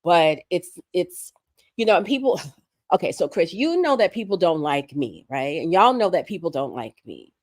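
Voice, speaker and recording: A hoarse woman, sick with a respiratory virus, making earnest, emphatic points as a guest on a livestream conversation. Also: fairly low pitch, very animated, moderate pace, a little mellow, dry recording, studio quality. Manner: earnest, emphatic, building points through repetition. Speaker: guest commentator.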